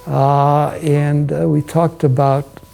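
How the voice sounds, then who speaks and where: Mellow, creaky-voiced American man. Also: on the high side, flat delivery, faint music behind, low-quality recording. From United States. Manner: measured, halting delivery in short phrases. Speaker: hotel owner and investor. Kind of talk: promotional interview, personal recollection. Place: indoors, hotel suite.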